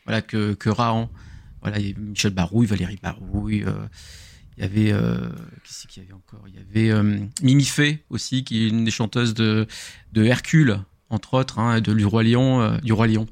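A man's voice: fairly low pitch, steady tone, brisk, vocal fry, darkish tone. A middle-aged man reminiscing casually, as a guest in a group chat. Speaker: podcast guest.

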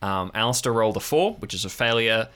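A man narrating an improvised audio drama scene as game master, halting and deliberate, pausing mid-sentence. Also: on the low side, expressive, pushed and bright, smooth.

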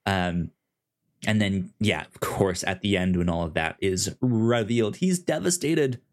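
A man with a fairly low voice talks through a show in a casual podcast discussion. His delivery is halting, with stammered, repeated syllables as he works toward each point. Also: lively intonation. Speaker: podcast co-host.

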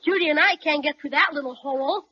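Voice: high voice, fast, natural voice. An actor playing an eager, wide-eyed young boy in an old children's radio serial. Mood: excited, amazed. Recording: muffled old radio recording.

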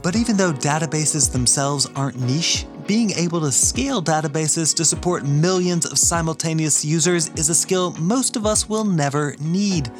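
A man hosting a podcast, giving a scripted introduction and ad read in a polished, measured way. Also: fairly high pitch, over music. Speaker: podcast host, university teacher.

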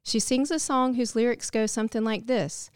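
A woman's voice: a little high, normal speed, clean tone, no room sound, clean studio sound. A woman giving a scripted, reflective devotional narration. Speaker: devotional speaker.

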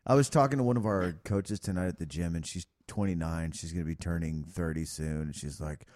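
A man's voice: low voice, brisk, a little mellow. A man in his thirties, a guest in casual chat, who talks upbeat and self-assured. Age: 30s. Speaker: podcast guest.

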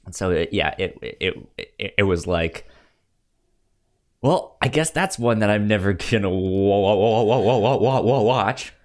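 Slow-paced man with widely swinging pitch. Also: expressive, a little mellow. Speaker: podcast co-host. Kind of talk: casual film discussion.

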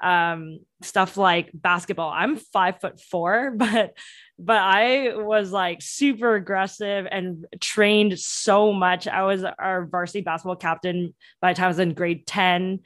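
A woman's voice: on the low side, steady tone, measured pace, a bit bright, no room sound. A young woman in her twenties whose first language is Cantonese, telling her story eagerly in a podcast interview with many restarts. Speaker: entrepreneur guest.

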